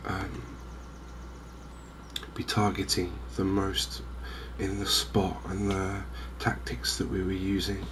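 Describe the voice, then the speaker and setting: Softly spoken low-voiced man, unhurried. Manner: gentle, unhurried, musing. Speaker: gaming video host. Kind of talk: softly spoken cozy ASMR gaming commentary. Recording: clear steady ambient background noise.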